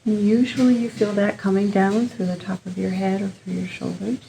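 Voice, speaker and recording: Female meditation guide, gentle and unhurried, informal instruction, noticeable vocal fry, light ambient noise. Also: mid-range voice, steady tone, rough recording. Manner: gentle, unhurried, pausing between short phrases.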